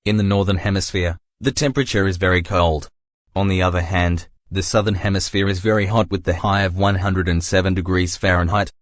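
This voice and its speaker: A man's voice: sits low, no room sound, clean studio sound, close to an everyday voice. A man playing a sober government announcer, reading an official scripted message.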